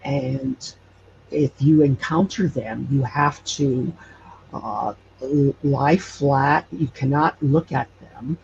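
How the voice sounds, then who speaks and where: A man from Hawaii speaking as an interview guest, explaining local legends haltingly as he searches for words. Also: medium pitch, gravelly, a little mellow. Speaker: podcast guest. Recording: dull, noisy audio.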